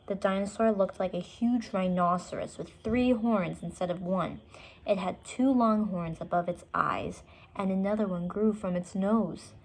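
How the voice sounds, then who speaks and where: A woman's voice: medium pitch, a little mellow. A woman storyteller narrating a children's book, with short explanatory asides for young listeners.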